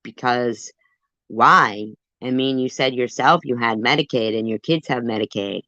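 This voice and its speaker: A woman with a low, bright and forceful voice, rambling defensively through a livestream commentary with a lot of ums and uhs. The audio is dull and lo-fi, recorded dry with no room sound. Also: a little slow, no room sound. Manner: defensive and rambling, with many fillers. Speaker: commentary channel host.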